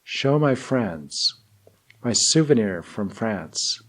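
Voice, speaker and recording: A man teaching and guiding meditation in deliberate, measured phrases with long pauses. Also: lowish voice, very animated, a bit bright. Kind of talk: guided meditation and spoken teaching.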